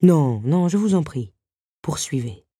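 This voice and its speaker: A woman's voice: deep voice, lively intonation, a little slow, dark tone, husky, no echo, studio quality, pitched below the performer's own voice, full character voice. A woman voicing an awkward, kindly male beast who is master of a castle, in an audiobook story. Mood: gentle.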